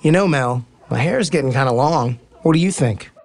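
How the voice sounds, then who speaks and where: An actor plays an easygoing male baseball player in a romantic comedy audio drama. He talks frankly and casually in a mid-pitched voice, teasing but kind. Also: expressive, fairly quick, close to an everyday voice. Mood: gentle, upbeat.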